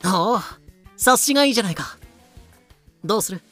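A man's voice: sits high, expressive, normal speed, sharp tone, soft background music, voice pushed up. A man voicing a shrewd colonial governor in an audio drama, casual, persuasive and a little condescending. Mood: pleased, superior.

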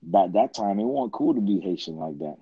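A man's voice: sits low, lively intonation, brisk, breathy edge, no room sound, phone-quality sound. A man in his thirties, a podcast guest, telling stories in a laid-back, slangy way and often checking in with "you know what I mean". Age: 30s. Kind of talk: casual storytelling chat.

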